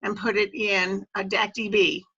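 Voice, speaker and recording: Woman doing a step by step training on a video call, crisp forward voice, kinda dull lo-fi sound. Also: medium pitch, flat delivery, a little slow, bright, forceful tone. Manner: methodical, step-by-step explaining. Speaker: presenter training club officers. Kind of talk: instructional walkthrough on a web call. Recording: dull, lo-fi web call audio.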